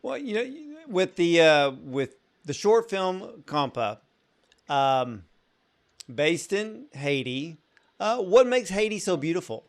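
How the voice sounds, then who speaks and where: Earnest interviewer, fairly high voice. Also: male voice, big pitch swings, takes it slowly. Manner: earnest and complimentary, asks long wind-up questions. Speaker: interviewer, show host. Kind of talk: interview questions.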